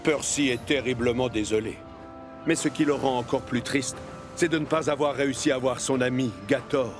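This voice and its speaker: A man narrates a children's animated story in a mid-pitched voice. The scripted storytelling sits over a clearly audible music bed. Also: moderate pace.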